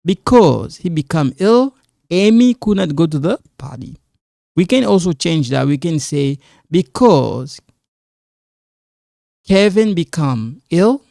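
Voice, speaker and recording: Slow-talking guy teaching english, not his first language, gravelly voice, keeps asking "all right?". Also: on the high side, very animated, dark tone, dry recording, clean studio sound. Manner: repetitive, checks in with "all right?". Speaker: ESL teacher. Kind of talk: casual classroom explanation.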